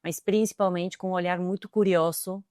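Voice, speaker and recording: A middle-aged woman with an Argentine accent, speaking as a guest in an interview with a smooth, resonant voice pitched fairly low for a woman. She answers thoughtfully and often pauses on hesitant fillers. The recording is close and dry. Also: steady tone, darkish tone, no room sound. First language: Spanish. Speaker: guest, tech executive.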